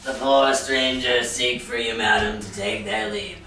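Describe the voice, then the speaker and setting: Performer as dutiful stage servant, slow announcing delivery with a creaky edge, recorded in an echoing room. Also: mid-range voice, big pitch swings, sharp tone, soft background music, full character voice. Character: dutiful household servant bringing news. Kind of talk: staged reading of Shakespearean comedy. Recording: distant echoey room with faint noise.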